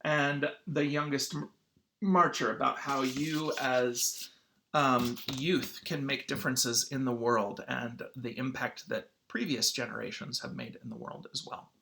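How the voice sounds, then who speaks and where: Male teacher for a children's read-aloud lesson. Bright, forward voice at average pitch, informal and halting, with frequent throat clears. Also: steady tone, slight background noise, poor audio. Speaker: schoolteacher.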